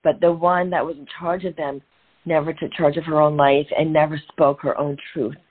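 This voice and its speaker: A middle-aged woman guest telling her own story, earnest and reflective, speaking in short phrases with pauses. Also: low-pitched, little pitch movement, husky. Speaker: guest, author and transformational coach. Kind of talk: candid personal story in a podcast interview. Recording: tinny phone-like line.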